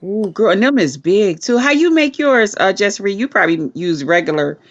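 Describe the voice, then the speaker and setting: A woman's voice: medium pitch, animated, normal speed, dull audio. A woman in her fifties co-hosting a live stream, chatting in a playful, colloquial way. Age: 50s. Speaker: co-host. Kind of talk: casual chat on a live stream.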